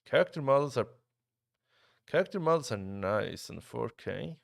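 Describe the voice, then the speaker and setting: A man's voice: medium pitch, normal speed, vocal fry, no room sound, clean studio sound. A young man from Switzerland streaming a game live, chatting casually with his viewers and reacting as he plays, often restarting his sentences. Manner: relaxed, chatty, talks to chat, frequent restarts. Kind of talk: casual live-stream commentary while gaming.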